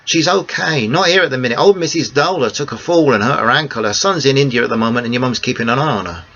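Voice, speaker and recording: A man voicing a kindly older father, gently reassuring his daughter in a homely way. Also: medium pitch, quick pace, natural voice. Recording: dull lo-fi recording.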